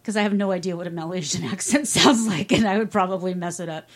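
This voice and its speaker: A woman's voice: on the low side, fast. A woman co-hosting a casual podcast, reading news stories aloud with wry asides and frequent laughter.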